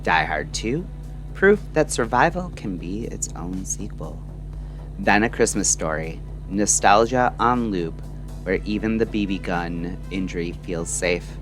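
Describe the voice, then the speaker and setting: Slow, low-pitched man narrating over music. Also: lively intonation. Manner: measured, introspective, deliberate short phrases. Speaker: narrator and host of a late-night radio style audio show. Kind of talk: scripted reflective monologue, late-night radio narration.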